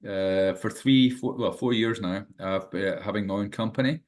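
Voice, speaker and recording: A Scottish man in his sixties with a fairly low voice talks as an interview guest. He hesitates, restarts and fills pauses with "uh," chuckling now and then. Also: darkish tone. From Scotland. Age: 60s. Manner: hesitant, many fillers and restarts, chuckles often. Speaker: guest, management teacher and author. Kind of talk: casual interview talk.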